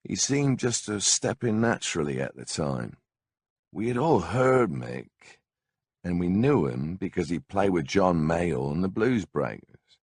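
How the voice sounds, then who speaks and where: A man with a gravelly, husky voice narrates a memoir audiobook in a laid-back, blunt, wry, conversational storytelling way on a close microphone. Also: sits low, big pitch swings, a little slow, a little mellow, no room sound.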